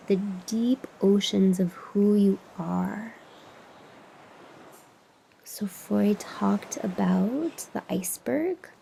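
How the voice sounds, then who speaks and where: A woman leading a guided meditation as a teacher, soothing and unhurried, with long pauses and breathy phrase endings that drop toward a whisper. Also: mid-range voice, mellow, slight background noise, poor audio. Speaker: meditation teacher and podcast host.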